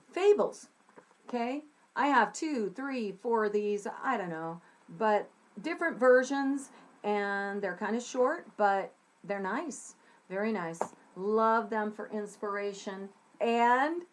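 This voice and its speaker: A woman's voice: mid-range voice, slight background noise. Middle-aged lady from texas, chatty teacher vibe, talking into her webcam. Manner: chatty, animated, gesturing with her hands. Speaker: scriptwriting teacher. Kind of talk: casual talk to camera. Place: small room with a dark curtain behind.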